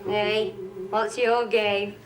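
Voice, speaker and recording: A woman's voice: mid-range voice, little pitch movement, very slow, music in the background. An actress playing a blunt, wisecracking young working-class woman in a 1970s studio sitcom. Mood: dry. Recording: noisy 1970s TV sitcom recording.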